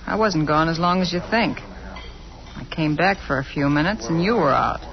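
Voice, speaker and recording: A woman's voice: sits low, expressive, normal speed. An actress playing a hard, testy woman ex-convict in a 1940s radio crime drama, on an old recording. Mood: guarded, curt. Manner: terse, tough. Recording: muffled old-time radio recording, crowd sounds.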